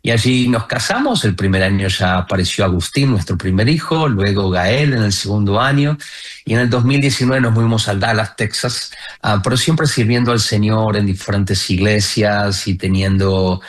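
A man's voice: lowish voice, moderate pace. A man in his thirties with an Argentine accent, telling his story earnestly as a guest on a radio interview. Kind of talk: casual interview talk.

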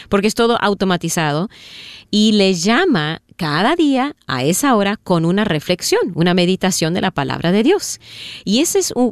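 A woman's voice: medium pitch, dry recording, clean studio sound. A woman hosting an interview, explaining things plainly and asking short questions. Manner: explanatory, then short prompts and backchannels. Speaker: interview host. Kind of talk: radio-style interview hosting.

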